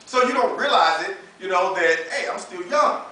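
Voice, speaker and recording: An American man in his thirties preaches with a very high, bright voice. His delivery is emphatic and conversational, leaning on everyday slang and rhetorical questions. The recording is heavily echoed, as if the mic sits some way off in a large room. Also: animated, moderate pace. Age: 30s. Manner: emphatic, colloquial, uses rhetorical questions and acted-out dialogue. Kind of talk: animated, conversational sermon. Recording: echoey room, distant mic, faint noise.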